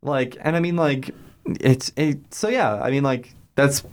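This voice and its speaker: A man co-hosting a casual podcast, excitable and rambling, with lots of fillers. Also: mid-range voice, measured pace. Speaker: podcast co-host. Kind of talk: casual chat.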